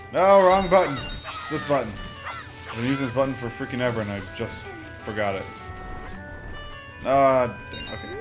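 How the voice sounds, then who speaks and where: Man, let's play host, lively swooping pitch, rambling asides, casual delivery, game music behind. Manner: rambling, self-interrupting, joking asides. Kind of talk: casual gameplay commentary. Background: video game music.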